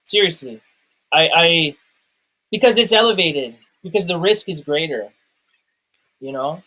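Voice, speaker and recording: A fairly high-pitched male voice. He talks in a casual, personal way, circling back and repeating words while he finds his phrasing. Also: expressive, measured pace, pushed and bright. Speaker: podcast guest reviewer, documentary maker.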